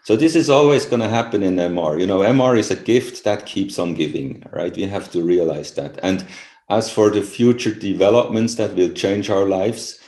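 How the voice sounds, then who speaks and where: A man's voice: low voice, normal speed, mellow. A middle-aged Swiss man with a Swiss accent, not a native English speaker, answering interview questions in a thoughtful, conversational way with frequent "you know" and "right?" asides. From Switzerland. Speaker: interviewed scientist. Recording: echoey room.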